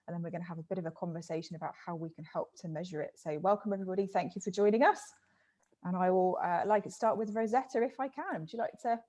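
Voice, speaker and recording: A woman's voice: on the low side, a little mellow. A woman moderating an online panel, upbeat and welcoming as she introduces the speakers.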